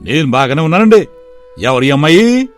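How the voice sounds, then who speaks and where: A man voicing a flattering middle-aged village elder who is eager to arrange a marriage, in an animated story. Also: a little high, normal speed, soft background music, voice pushed up. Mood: ingratiating.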